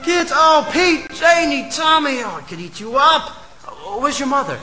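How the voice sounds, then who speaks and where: A desperate family man pleads and shouts in a very high voice, stammering over his words, as a man plays him. The recording is echoey and has music playing behind it. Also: normal speed. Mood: overjoyed. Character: frantic, desperate family man, then overjoyed. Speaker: lead actor.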